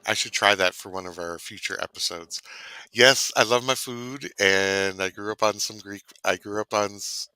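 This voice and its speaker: A man's voice: fairly low pitch, fairly even intonation, moderate pace, bright, forceful tone, clean tone, dry recording, poor audio. A man from Canada co-hosting a casual online cooking chat, rambling and savoring his words. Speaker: co-host.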